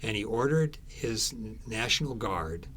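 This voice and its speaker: A man being interviewed as an author, explaining things conversationally in a halting, storytelling way with repeated phrases. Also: lowish voice, expressive, fairly bright tone, slight background noise. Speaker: author being interviewed about his book.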